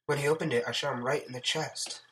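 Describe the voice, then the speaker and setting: Man voicing a shaken, pleading woman, pitched up. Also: steady tone, fast talker, a bit bright, slight background noise, lightly acted. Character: shaken, pleading woman who has just shot an intruder.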